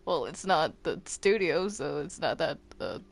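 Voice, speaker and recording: A woman improvising roleplay in a video game, speaking haltingly and often repeating her words. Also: sits low, very animated, creaky. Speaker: roleplay gamer. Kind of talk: improvised Minecraft roleplay chat.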